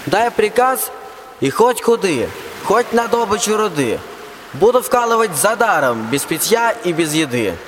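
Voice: mid-range voice, sing-song, a little slow. A performer playing a weary, ancient invisible spirit in a rhymed radio play, with plaintive folksy declamation. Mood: eager. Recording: reverberant, faint ambient noise behind.

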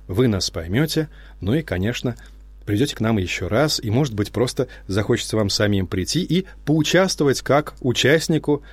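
A man with a fairly low voice addresses listeners without a script. He is earnest and thoughtful but hesitant, pausing and restarting often. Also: no room sound. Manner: hesitant, with frequent filler sounds and restarts. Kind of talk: unscripted spoken address to listeners.

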